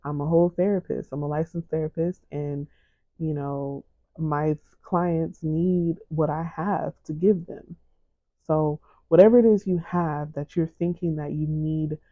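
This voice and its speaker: A woman podcast host giving a gentle, encouraging faith-based talk in a soft, low, soothing voice. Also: no room sound, lo-fi sound.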